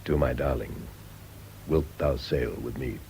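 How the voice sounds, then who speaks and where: An actor playing a brooding, sharp-tongued wealthy gentleman in a radio drama, with a theatrical, clipped, intense delivery. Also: rumbling low, a little slow. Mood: subdued, wistful. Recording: faintly noisy radio drama recording.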